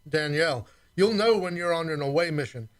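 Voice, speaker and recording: A man plays a starship's chief engineer for a sci-fi radio drama. His voice is notably high, and he grumbles with a sardonic, timid streak that suggests he would rather stay with his engines. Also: expressive, fairly quick, close to an everyday voice. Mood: sardonic, wary. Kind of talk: science fiction radio play acting.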